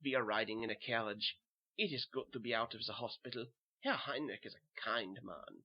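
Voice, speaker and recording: A man's voice: medium pitch, a little fast, dull audio, natural voice. A man playing a weary, haunted German soldier who narrates a horror tale in simple short sentences, with a German accent. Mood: subdued. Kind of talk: first-person horror audio narration.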